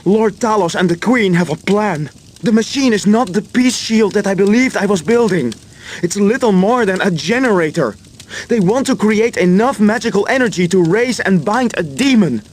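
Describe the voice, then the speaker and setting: High-voiced remorseful inventor, game cutscene. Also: male voice, lively intonation, moderate pace, slight background noise. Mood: grave, urgent. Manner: earnest, apologetic. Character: remorseful male inventor who betrayed his friends. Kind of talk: video game cutscene acting.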